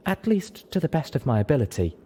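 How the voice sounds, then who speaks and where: A man voicing a caring female pony medic, motherly and gentle but insistent. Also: medium pitch, sing-song, a little fast, slightly rough, pitched above the performer's own voice. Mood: gently insistent.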